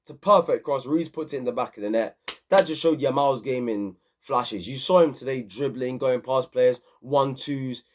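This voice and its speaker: Excitable man reviewing football. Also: mid-range voice, normal speed, a little hiss or hum. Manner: animated, repetitive, slangy. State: hoarse from screaming. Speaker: football channel host. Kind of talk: excited casual match review.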